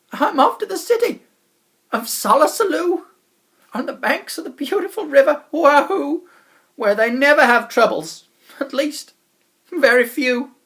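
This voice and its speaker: A man voicing a bossy, boastful, cheery chap from a children's storybook, loud, emphatic and full of confidence. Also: extremely high pitch, vocal fry, pitched above the performer's own voice, exaggerated voice. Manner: loud, emphatic, hearty, overconfident.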